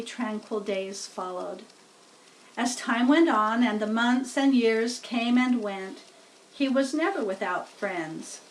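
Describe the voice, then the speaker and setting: A woman reads a children's story aloud in a high voice. Her pace is slow and measured, and she sounds a bit distant from the microphone. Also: slight background noise. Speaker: storybook reader.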